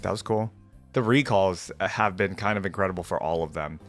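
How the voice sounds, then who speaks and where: A man's voice: sits low, brisk, fairly bright tone. Casual man reacting over music. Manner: informal gamer slang, mild exclamations. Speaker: reaction video host.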